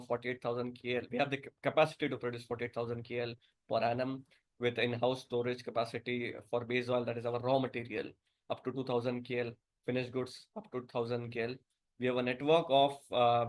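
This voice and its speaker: A man with an Indian accent giving a business presentation as a company director, halting and often repeating words. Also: medium pitch.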